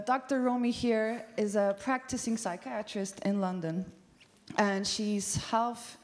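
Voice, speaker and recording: Turkish woman presenting on a festival stage. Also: mid-range voice. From Turkey. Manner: casual, with frequent um and uh fillers. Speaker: studio co-founder giving a festival talk. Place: large festival venue stage.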